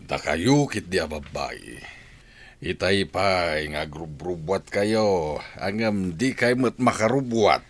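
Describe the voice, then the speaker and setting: A man's voice: low-pitched, expressive, slow, exaggerated voice. An actor with an Ilocano accent playing a teasing father in a radio comedy drama. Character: teasing father of the wedding couple.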